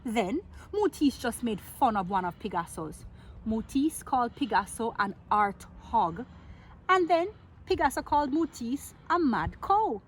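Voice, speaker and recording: Woman, children's storyteller, fairly high voice, theatrical and playful delivery, drawn-out words, noisy background. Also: big pitch swings. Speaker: storyteller reading a picture book aloud to children. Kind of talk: expressive children's read-aloud with asides and questions to listeners.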